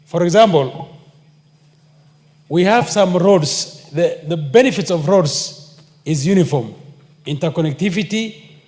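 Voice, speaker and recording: A Kenyan man speaks very slowly and deliberately in a parliamentary debate, his voice sitting a little high. The chamber's long reverb lingers behind his measured phrases at the desk microphone. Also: lively intonation, darkish tone. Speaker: member of parliament. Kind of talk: parliamentary speech.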